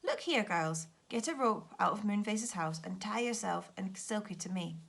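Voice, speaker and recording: Woman as urgent storybook boy, lively pitch. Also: normal speed, creaky, husky, full character voice. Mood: worried, commanding. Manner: urgent, giving orders. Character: brisk, commanding young boy Joe, worried leader.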